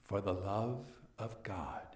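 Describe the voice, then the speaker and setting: A man voicing a cold, vengeful nobleman who answers his victim quietly and mockingly. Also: mid-range voice, slow pace, natural voice. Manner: quiet, flat, mocking echoes.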